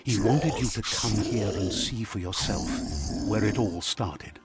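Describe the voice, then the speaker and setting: Male narrator, played by an actor, low voice, grave measured storytelling, dark video game fable, music underneath. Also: lightly acted. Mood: grave, somber. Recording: music behind the voice.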